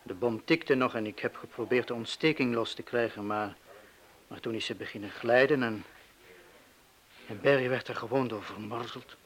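Radio play: male actor as wavering middle-aged crook, halting, fearful, guilty, mid-range pitch. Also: lightly acted, poor audio. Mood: grim, subdued. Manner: hesitant, halting, confessional.

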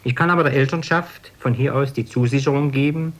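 A man's voice: medium pitch, brisk. A man from Dresden, a choir director, solemnly delivering a formal statement in long careful sentences. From Dresden, East Germany.

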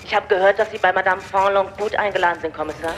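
An actress playing an anxious, secretive young nightclub woman on the telephone in a radio drama, urgent and hurried. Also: mid-range voice, a bit bright. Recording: noisy telephone line with clicks.

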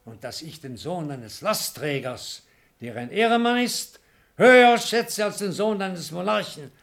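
High-pitched, declamatory old nobleman father. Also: lively intonation, a little slow, gravelly, a bit bright, full character voice. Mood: indignant. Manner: solemn, declamatory. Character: stern outraged old nobleman father scolding his son. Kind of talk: classical radio play acting.

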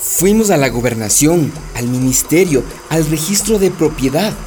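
A woman playing an anguished Amazonian villager, telling her story gravely and with grievance in a radio drama. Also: low voice, animated, moderate pace, mellow, faint music behind.

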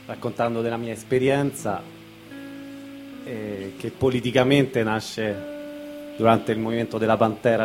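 A man's voice: medium pitch, a little mellow. A man speaking as a guest reader at a stage event, presenting a testimony aloud to an audience. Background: guitar music.

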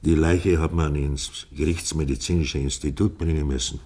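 An actor playing a polite male police inspector who questions in a formal, hesitant, measured way, in a 1950s German radio play. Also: very deep voice, steady tone, dark tone, husky. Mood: puzzled, tactful.

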